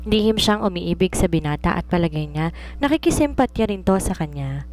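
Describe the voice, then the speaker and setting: A woman's voice: fairly low pitch, lively intonation, a little fast, a little mellow. A woman reading a scripted romance story as its narrator.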